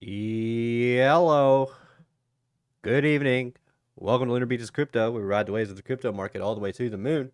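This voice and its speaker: A man with a stuffy, sniffly nose hosting a casual crypto livestream, low on energy and rambling as he greets viewers by name. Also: moderate pace, dry recording, studio quality. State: congested, sniffly.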